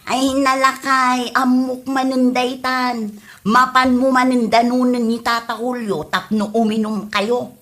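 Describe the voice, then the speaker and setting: A woman acting out a nagging village wife in a comedy audio drama. Her voice sits fairly high and carries an Ilocano accent. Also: flat delivery, unhurried, a bit bright. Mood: exasperated. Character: nagging village wife ordering husband to chores. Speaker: actress in comedy radio drama.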